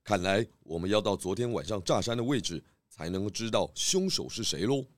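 A man's voice: on the low side, animated, brisk, natural voice. A man voicing a confident pig detective in a children's audio drama, composed, knowing and theatrical. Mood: pondering.

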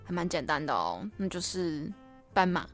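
A woman's voice: low voice, big pitch swings, moderate pace, faint music behind. A young adult woman, chatting casually and playfully as a podcast host, teasing herself as she goes.

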